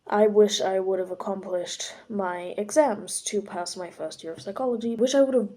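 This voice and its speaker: A woman's voice: medium pitch, moderate pace, mellow. A 21-year-old woman chatting to camera as a vlogger, giving plain, matter-of-fact answers. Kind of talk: casual chat to camera.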